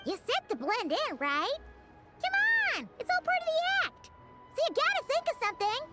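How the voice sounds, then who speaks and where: A woman voicing a tiny, excitable fairy companion in a video game, with a squeaky childlike voice and bubbly delivery, over music. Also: normal speed, heavily acted. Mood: eager. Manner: bubbly, exclamatory, speaks of self in third person.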